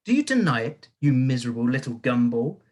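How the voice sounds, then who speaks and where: A storyteller voicing a cruel, bullying headmistress who booms and barks insults at a child. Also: sing-song, brisk, a little mellow. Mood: bellowing. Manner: booming, barking insults, mock-sweet when menacing. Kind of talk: audiobook character voice.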